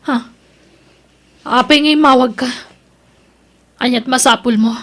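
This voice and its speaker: A woman's voice: fairly high pitch, fairly even intonation, slow pace, a bit bright. A woman acting a distraught young student in an Ilocano audio drama, with an emotional, melodramatic delivery. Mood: indignant. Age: young adult. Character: distraught young woman student, pleading, torn between lovers. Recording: music bed under the voice.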